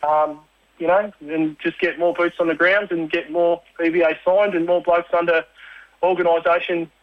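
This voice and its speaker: Man, union report on radio, halting and filler-heavy, slightly high, monotone. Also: dry recording, narrow, tinny audio. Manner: blokey, rambling, many fillers. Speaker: union organiser giving a report. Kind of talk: casual radio chat.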